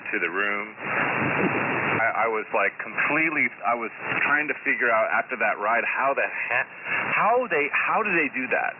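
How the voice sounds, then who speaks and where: A man's voice: mid-range voice, expressive, normal speed. A middle-aged man chatting casually over amateur radio, rambling along, repeating words and trailing off. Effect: two-way radio transmission. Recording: narrow, very noisy ham radio transmission.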